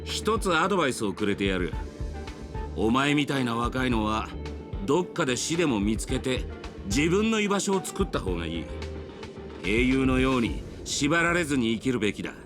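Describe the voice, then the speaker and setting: An actor playing a gruff, easygoing fishing lover in a video game, with rough, folksy, teasing speech. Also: male voice, medium pitch, sing-song, rapid-fire, music in the background, lightly acted. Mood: advising. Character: gruff, easygoing man who loves fishing. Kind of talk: Japanese video game voice acting.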